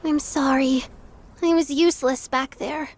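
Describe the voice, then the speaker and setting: A woman's voice: high voice, steady tone, unhurried. A woman voicing a timid, clingy, childlike girl soldier in a game, meek and pleading. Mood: meek, apologetic. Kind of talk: dubbed game cutscene voice acting.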